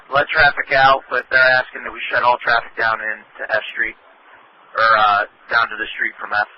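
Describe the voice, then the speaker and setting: A man's voice: medium pitch, little pitch movement, normal speed. A man speaking as a police officer in the field, reporting in over a two-way radio. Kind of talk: live police radio traffic. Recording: muffled, noisy police radio transmission.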